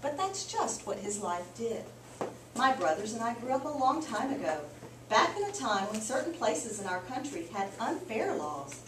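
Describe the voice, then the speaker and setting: A woman reading a picture book aloud to children like a teacher, with expressive, emphatic storytelling. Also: on the high side, moderate pace. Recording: roomy, distant mic, clearly noisy background.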